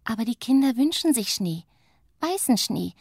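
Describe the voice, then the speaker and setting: A small, sensitive young witch speaks in a high, whining voice, as a woman reader puts her on. Her tone is thin and breathy, and she sounds plaintive and quick to feel hurt. The reading is close and dry. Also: sing-song, a little mellow, no echo, heavily acted. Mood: pleading.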